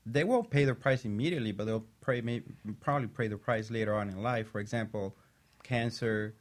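Measured man explaining health risks. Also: on the low side. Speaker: health practitioner guest. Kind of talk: talk show conversation.

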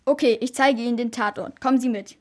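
A fairly high voice of a female amateur plays a male caretaker in a homemade audio drama. The caretaker is subdued and a little hesitant, speaking plainly. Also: fairly even intonation, fast, slight background noise, close to an everyday voice. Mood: subdued, helpful.

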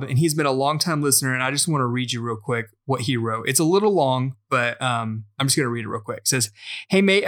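A man's voice: mid-range voice, a bit bright. A man hosting a podcast in a casual, chatty way, reading aloud with occasional stumbles and self-corrections. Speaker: podcast host. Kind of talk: casual podcast chat, reading out a listener message.

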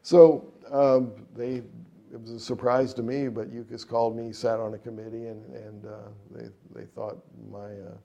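Gravelly, low-key male jazz musician. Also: little pitch movement, normal speed, mellow. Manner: rambling, many fillers and restarts. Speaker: jazz trumpet player and composer. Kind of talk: relaxed interview answers.

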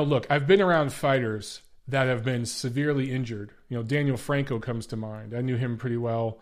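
A man hosting a boxing podcast, speaking casually to his viewers, his voice a little stuffy and congested, repeating phrases and often saying "guys" and "uh". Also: medium pitch. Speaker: podcast host.

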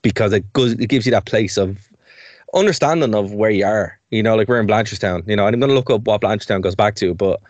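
A man's voice: fairly low pitch, fast, a little mellow, dry recording, highs cut off. A young Irish man co-hosting a community radio show, chatty and rambling, laughing easily between points. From Ireland. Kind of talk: casual radio chat.

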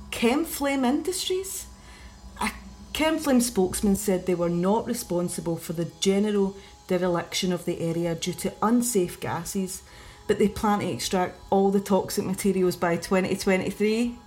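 A woman's voice: medium pitch, dark tone, lightly acted. A woman with a Scottish accent acting in a radio drama as an anxious investigator. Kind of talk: radio drama, reading research aloud.

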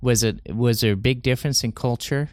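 A man hosting a conversational interview, asking questions in a halting, hesitant way. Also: mid-range voice, steady tone, a little mellow, no room sound, studio quality. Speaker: interview host.

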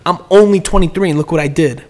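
A man's voice: on the high side, quick pace. A man in casual podcast chat, giving business advice in a rambling way, often repeating words and restarting sentences.

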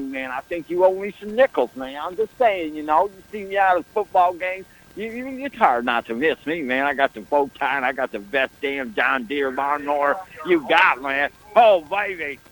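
A cocky small-town show-off who calls himself the team's lucky charm, as a man puts him on. He swaggers through every boast in a slightly high voice and tags nearly every phrase with "man" or "baby". It is a comic character monologue. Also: a bit bright, low-quality recording. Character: brash boastful small-town man, self-styled football good-luck charm.

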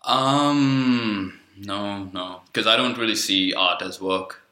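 A man's voice: on the low side, slow pace, sharp tone. Sri young man, casual rambling guest with a piercing tone, recorded in a reverberant room. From Sri Lanka. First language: Sinhala. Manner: rambling, lots of fillers and swearing. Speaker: guest artist. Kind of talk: casual podcast chat. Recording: echoey room.

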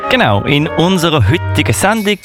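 A man's voice: on the high side, big pitch swings, normal speed, music in the background. A man with a Swiss German accent hosting an informal youth radio show. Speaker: radio host. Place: radio studio.

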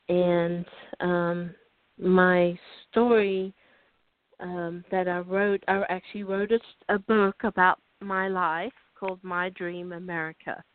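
An older woman from South Africa with a South African accent, a guest author telling her life story in a radio interview, unhurried and pausing with ums and restarts. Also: lowish voice, no echo. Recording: dry tinny phone line.

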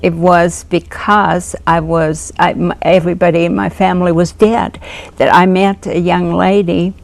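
Older woman, low gravelly voice. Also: little pitch movement. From Finland. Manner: hesitant, many pauses and fillers. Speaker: guest. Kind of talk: personal testimony interview.

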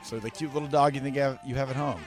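A man hosting a sports radio show, his voice a little raspy, joking and laughing. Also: medium pitch, fairly even intonation, racing, soft background music. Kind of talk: casual sports radio banter. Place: radio studio.

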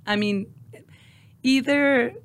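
A woman's voice: sits high, drawn-out words, slight background noise. Mexican lady guest, 40s, spanish first language, lots of ums, studio mic. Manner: hesitant, many fillers and restarts. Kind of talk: casual interview answers. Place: podcast studio.

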